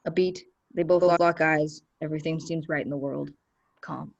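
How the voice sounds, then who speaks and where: Casting: female narrator for a play reading, lowish register, measured delivery of stage directions. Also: steady tone, creaky, muffled recording. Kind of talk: scripted narration.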